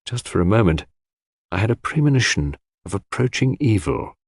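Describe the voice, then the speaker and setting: A man narrates an audiobook in scripted, literary first person. His voice is on the low side and his intonation is highly varied. The recording is dry, with very little room. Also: sing-song, moderate pace, breathy edge, no room sound.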